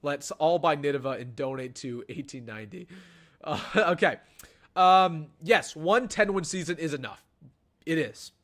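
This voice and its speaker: A man's voice: on the high side, moderate pace. A young man, hosting a casual live-stream sports show and chatting through viewer questions. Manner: chatty, animated, answers chat questions. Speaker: sports channel host.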